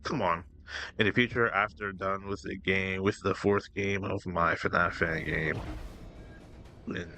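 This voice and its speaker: A man's voice: low voice, fairly even intonation, a little slow, creaky, pushed and bright, slight background noise. A young man casually commenting over his gameplay, slangy and loose, talking half to himself. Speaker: gaming streamer. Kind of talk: casual let's-play commentary.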